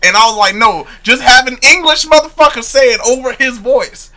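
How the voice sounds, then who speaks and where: Casual podcast guy, twenties, high and very bright, animated storyteller. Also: normal speed. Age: 20s. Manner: animated storyteller, acts out quotes. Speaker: podcast guest. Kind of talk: casual chat.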